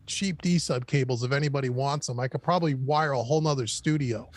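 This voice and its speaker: A man's voice: mid-range voice, fast, a little hiss or hum. A man guesting on a casual live-stream chat, rambling through wry stories with lots of repeats. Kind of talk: casual conversation.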